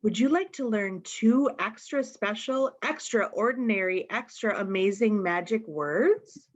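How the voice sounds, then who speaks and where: A woman's voice: medium pitch, a little slow. A woman reading a picture book aloud to young children, expressively and addressing them directly with questions. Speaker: storytime reader.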